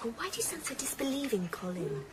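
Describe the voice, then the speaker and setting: An actress in a radio drama playing a concerned woman who pleads gently but challenges too. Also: high voice, sing-song, fast. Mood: pleading, reproachful. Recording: noisy background ambience.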